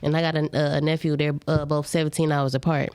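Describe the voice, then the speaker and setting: A woman's voice: deep voice, flat delivery, fast talker, darkish tone. A woman telling stories in casual podcast chat, chatty and acting out what people said. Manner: chatty storyteller, acts out quotes. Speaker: podcast guest.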